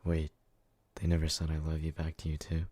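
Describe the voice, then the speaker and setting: A man's voice: a bass voice, monotone, fairly quick, dark tone, no room sound. A man playing a devoted, brooding, hot-headed but tender yandere in an ASMR roleplay, speaking with earnest intensity. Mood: resentful.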